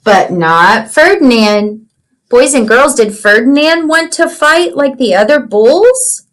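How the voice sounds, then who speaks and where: A woman's voice: a little high, lively intonation, slow. A woman reading a children's storybook aloud like a teacher, explaining things simply and checking in with young listeners.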